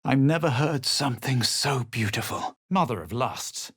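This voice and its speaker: An actor playing a haughty, self-assured male adventurer in a fantasy video game, with a gravelly, husky voice and a theatrical manner. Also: mid-range voice, lively intonation, normal speed, fairly bright tone. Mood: cool, assured. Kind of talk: video game character voice lines and spell incantations.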